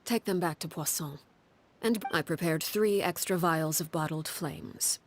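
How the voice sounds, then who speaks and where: An actress as a stern, composed woman who heads a secretive household in a video game. Her voice sits on the low side, and she speaks with solemn restraint and measured authority. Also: a little hiss or hum, lightly acted. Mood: stern farewell. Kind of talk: dramatic video game voice acting.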